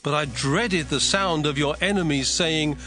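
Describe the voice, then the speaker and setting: A man's voice: on the high side, measured pace, bright, forceful tone. A man voicing a wrathful, jealous God pronouncing judgement in a solemn, commanding way for a dramatized scripture reading. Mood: wrathful, threatening. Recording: music bed behind the voice.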